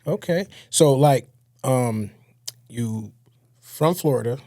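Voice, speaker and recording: A man's voice: medium pitch, expressive, takes it slowly, warm tone. A man co-hosting a casual podcast, talking in a laid-back, slangy way with plenty of profanity. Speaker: podcast co-host.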